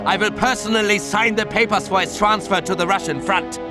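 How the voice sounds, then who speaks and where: Actor as a high-pitched, furious German camp commandant. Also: male voice, steady tone, a little fast, natural voice. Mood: angry. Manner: imperious, clipped, scolding. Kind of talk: video game cutscene acting. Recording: loud music under the voice.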